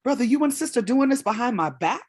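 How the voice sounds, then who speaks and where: A woman's voice: fairly high pitch, animated, fast, a little mellow, breathy edge, dry recording. A woman acting a proud, wounded middle-aged mother and community elder in a staged play reading, speaking earnestly and emphatically. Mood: upset, hurt.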